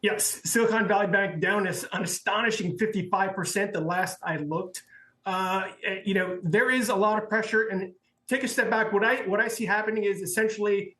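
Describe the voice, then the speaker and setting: A man working as a banking reporter, explaining developments off the cuff in a halting way on live news. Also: high-pitched, pushed and bright. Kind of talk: live unscripted news analysis. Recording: roomy, echoey sound.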